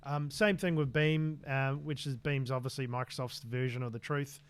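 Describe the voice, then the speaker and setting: A man's voice: mid-range voice, steady tone, normal speed, clean tone, no echo. A man co-hosting a tech podcast in an easygoing, casual chat full of fillers and restarts. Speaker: podcast co-host.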